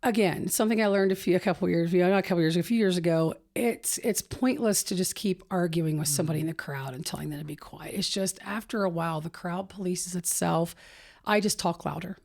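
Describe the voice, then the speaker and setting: An older woman with a low voice, a comedian chatting casually on a podcast and rambling through stories with laughs. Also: brisk, a little mellow. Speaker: comedian guest.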